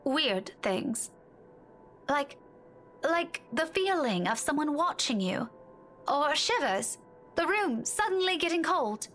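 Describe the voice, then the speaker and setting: A high-pitched, earnest young woman in a visual novel game. She speaks haltingly, pleading and full of concern, over noisy ambience. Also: very animated, fairly bright tone, full character voice. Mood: hesitant, uneasy. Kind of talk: voiced visual novel dialogue.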